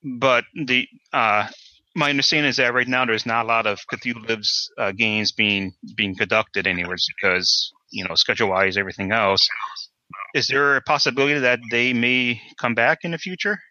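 A man hosting a casual interview, asking halting, rambling questions. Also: mid-range voice, sharp tone, dull audio. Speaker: podcast host, interviewer.

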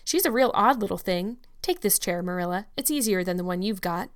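A woman voicing a hearty, outspoken older village woman in a storybook reading, with brisk, folksy talk. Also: mid-range voice, expressive, natural voice. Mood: gossipy.

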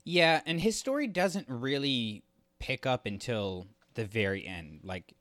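Bright, forceful man chatting on a podcast. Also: mid-range voice, animated, measured pace, no echo, clean studio sound. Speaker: podcast co-host. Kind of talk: casual opinionated review chat.

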